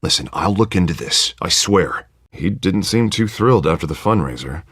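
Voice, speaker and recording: Earnest, animated American district attorney. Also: male voice, low voice, moderate pace, breathy edge, no room sound, studio quality, heavily acted. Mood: reassuring. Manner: earnest, emphatic, colloquial. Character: earnest, excitable male district attorney, loyal friend. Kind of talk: video game voice acting.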